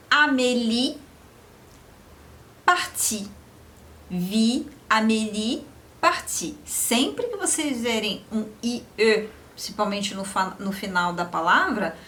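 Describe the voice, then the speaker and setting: A woman from Brazil teaching French pronunciation, repeating example words slowly and clearly. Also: fairly high pitch, a bit bright, slight background noise. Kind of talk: slow, careful pronunciation lesson.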